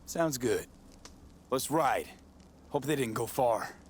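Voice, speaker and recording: An actor playing a calm, dry-spoken male mercenary in a game cutscene. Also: medium pitch, slow pace, slight background noise. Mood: steady. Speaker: game protagonist.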